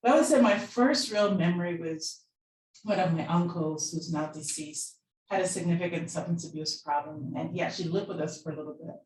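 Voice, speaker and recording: A woman's voice: fairly low pitch, brisk, darkish tone. A woman from Barbados sharing personal memories in a candid, reflective way on a panel. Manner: reflective, candid, occasional laughs. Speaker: panel guest. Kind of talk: casual personal storytelling. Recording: echoey room, distant mic.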